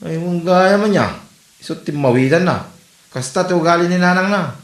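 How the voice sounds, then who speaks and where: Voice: mid-range voice, moderate pace, close to an everyday voice. Echoey actor playing a gossiping neighbour. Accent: Ilocano. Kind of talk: Ilocano comedy radio drama acting. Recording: echoey room.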